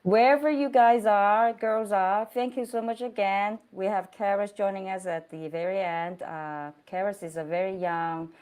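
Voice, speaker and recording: A woman's voice: mid-range voice, moderate pace, hardly any pauses, darkish tone, clear, resonant tone. A woman hosting an online interview over a video call, speaking in an effusive, encouraging way and laughing often. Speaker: host.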